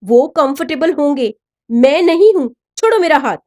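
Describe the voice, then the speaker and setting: Woman as teenage schoolgirl, high voice, emotional, principled, audio storybook heroine. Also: lively intonation, normal speed, a little mellow. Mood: shocked, angry.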